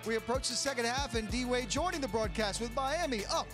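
A high-voiced middle-aged man hosts a sports halftime show. He presents in an upbeat broadcast style, with loud music playing under him. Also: a little fast.

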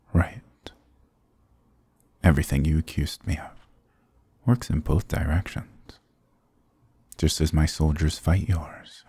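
A man's voice: a bass voice, mellow, slightly rough, no room sound, exaggerated voice. A man voicing a sarcastic, dominant demon king in an ASMR roleplay, with a taunting, condescending, theatrical delivery. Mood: sardonic.

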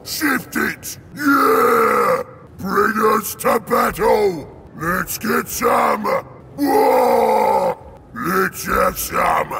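Brutish game orc, high strained gravelly yelling, bloodthirsty war cries, bit noisy behind. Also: male voice, animated, very slow, heavily acted. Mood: bellowing, impatient. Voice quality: gravelly, rough. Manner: bellowing short war cries in broken grammar. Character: brutish, bloodthirsty male orc warrior, eager for battle. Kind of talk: video game unit battle barks.

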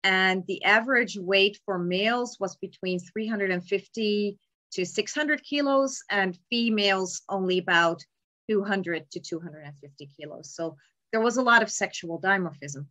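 Measured woman giving a slide lecture. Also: mid-range voice, sharp tone. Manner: measured, explanatory, with frequent ums. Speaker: palaeontology lecturer. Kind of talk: slide lecture on cave bears. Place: indoor room, remote talk. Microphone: webcam in a video call.

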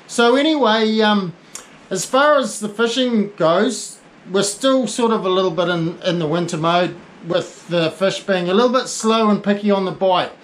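A man's voice: high voice, moderate pace, low-quality recording. A New man in his forties giving a rambling, casual fishing report from inside his small tackle shop, full of fillers and restarts. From New Zealand.

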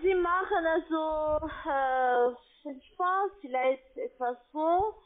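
A woman call centre agent with a foreign accent, speaking non-native, halting and broken phrases. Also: high-pitched. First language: not German. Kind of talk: phone sales call. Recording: narrow-band phone line.

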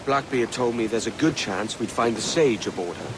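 An actor playing a determined, roguish pirate captain in a video game, brisk and commanding but informal. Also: male voice, medium pitch, background noise, close to an everyday voice. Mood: steady, assured. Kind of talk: dramatic video game acting.

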